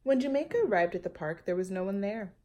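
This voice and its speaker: A woman's voice: mid-range voice, animated, quick pace, warm tone. A woman reading a children's picture book aloud, with chatty asides to her young listeners. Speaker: storytime reader.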